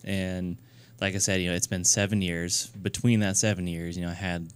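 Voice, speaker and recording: A man's voice: low voice, level tone, moderate pace, smooth, dry recording. A man in his thirties from New Hampshire, a guest in a casual radio interview, talking earnestly with many fillers and restarts. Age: 30s. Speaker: guest, nonprofit founder.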